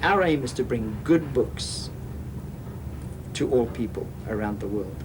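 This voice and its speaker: Man, ship's captain, mid-range voice, steady earnest explaining, loud rumble under the recording. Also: a little slow. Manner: earnest, explanatory, with frequent uh fillers. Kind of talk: documentary interview. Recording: noisy background rumble.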